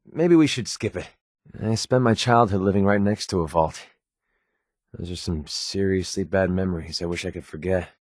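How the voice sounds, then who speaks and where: An actor playing a wry, guarded young American mercenary companion in a video game, talking in a sardonic, conversational way. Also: male voice, fairly low pitch, dry recording, clean studio sound. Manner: sardonic, conversational, hesitant asides.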